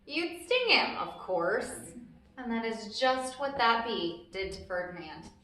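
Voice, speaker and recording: A woman's voice: fairly high pitch, very animated, takes it slowly, pushed and bright. A woman hosting a storytime, reading a children's book aloud expressively and explaining things simply for young listeners. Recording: very echoey, reverberant room.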